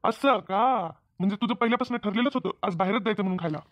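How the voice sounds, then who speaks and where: A woman as a fast-talking exasperated cartoon husband. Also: mid-range voice, highs cut off, lightly acted. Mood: irritated refusal. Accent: rural Maharashtrian Marathi. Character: exasperated, nagging village husband in a comedy cartoon. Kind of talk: comic cartoon dialogue.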